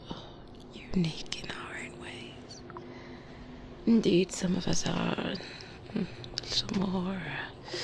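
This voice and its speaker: Woman playing an eerie whispering doctor, very slow. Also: on the low side. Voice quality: breathy whisper. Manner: hesitant, insinuating, murmuring with many hums. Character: eerie, softly sinister, polite woman specialist doctor. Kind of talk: ASMR roleplay.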